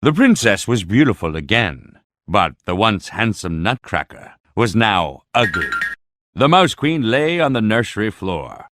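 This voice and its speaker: Male storybook narrator, low voice, lively melody, slow pace, dry close recording. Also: no room sound, faint music behind.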